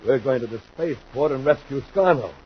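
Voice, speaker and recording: An actor playing a sly, scheming criminal in a 1950s radio space adventure. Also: male voice, medium pitch, animated, fairly quick, slightly rough. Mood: commanding. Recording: muffled, noisy 1950s radio recording.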